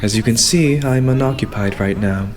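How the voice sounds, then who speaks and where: A man playing a young idol butler in an anime-style fan dub, formal and courteous with a quietly condescending edge. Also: mid-range voice, lightly acted. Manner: formal, courteous, measured, servile phrasing.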